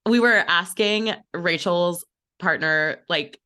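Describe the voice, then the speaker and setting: A woman's voice: fairly low pitch, slow pace, sharp tone. A young woman chatting casually as co-host, upbeat and filling her talk with "like", a little sick. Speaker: operations manager and co-host. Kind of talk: casual chat.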